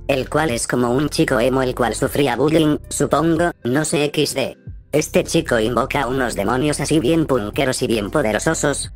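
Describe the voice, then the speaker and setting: A man narrating a scripted, comedic recap of a video game as a voice-over, full of sarcastic asides. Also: medium pitch.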